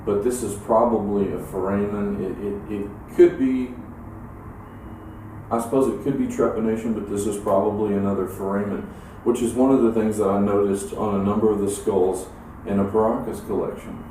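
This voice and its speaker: Deep-voiced man in a very echoey room. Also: steady tone, mellow. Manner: halting, measured, technical. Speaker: academic expert giving an opinion. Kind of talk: unscripted expert explanation. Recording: echoey room, distant mic, clear noise.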